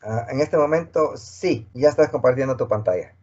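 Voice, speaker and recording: A man's voice: mid-range voice, normal speed, a little mellow. A man moderating an online talk, introducing the guest and speaking briefly and politely. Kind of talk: hosting a webinar. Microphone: video call. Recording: dull video call audio.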